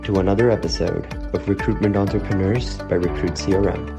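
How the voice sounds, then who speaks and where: A man hosting an interview podcast, enthusiastic and quick to chime in. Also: sits low, steady tone, vocal fry, music in the background. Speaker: podcast host.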